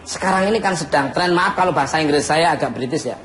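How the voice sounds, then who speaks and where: A male comedian hosts a talk show with playful exaggeration, often repeating words for effect. His voice sits in a very noisy studio with a loud audience all around. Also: a little high, fast talker, over a noisy crowd. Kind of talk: joking comic talk show hosting. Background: loud audience and laughter.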